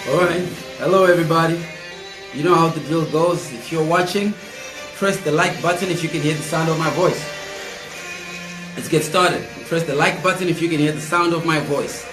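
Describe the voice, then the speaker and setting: A man in his thirties hosting a live political commentary stream, slightly hoarse, chatty and speaking straight to viewers. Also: a little high, moderate pace, over music. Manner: chatty, addresses viewers directly, repeats calls. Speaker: host of an online political show. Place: indoor room, seated at a table. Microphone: fixed camera, mid-distance. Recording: roomy echo.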